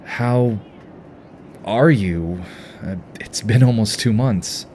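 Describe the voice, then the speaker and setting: A man's voice: fairly low pitch, takes it slowly, warm tone, a little hiss or hum. A man playing an earnest, caring team leader in an audio drama, speaking with sincere concern.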